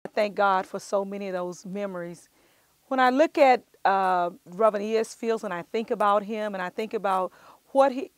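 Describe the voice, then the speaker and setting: A woman's voice: mid-range voice, no echo. A middle-aged woman with a Southern Texas accent, speaking earnestly and emphatically as she gives personal testimony to camera. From Spanish Camp, Texas, United States. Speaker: church music minister. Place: outdoors at the doorway of a wooden church.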